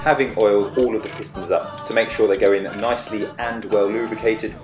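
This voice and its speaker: A middle-aged man narrates an engine rebuild with cheerful, joking banter. His voice carries a roomy echo from the garage, with music clearly playing underneath. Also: lowish voice, steady tone, a little fast. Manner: cheerful, jokey, uses playful slang. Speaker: co-host restoring a tractor engine. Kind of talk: upbeat workshop narration and banter. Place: garage workshop, voice-over in part.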